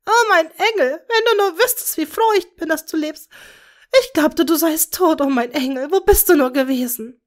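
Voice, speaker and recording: A woman playing a shrill, frantic grieving witch. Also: moderate pace, close to an everyday voice. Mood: overjoyed. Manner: sobbing, high-pitched wailing. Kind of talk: fan fiction reading.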